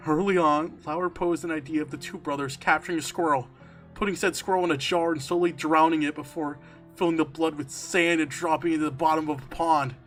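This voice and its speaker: Bright-voiced man dramatically reading horror. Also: a little high, fairly quick. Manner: expressive, emphatic, swearing, breaking into amused asides. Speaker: streamer reading a horror story aloud. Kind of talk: dramatic story reading with casual asides. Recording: music under the voice. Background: background music.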